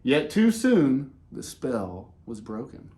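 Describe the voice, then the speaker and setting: A man reading a children's picture book aloud in an expressive way, with dramatic pauses and casual, conversational delivery. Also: mid-range voice, slight background noise. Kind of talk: animated read-aloud with casual asides.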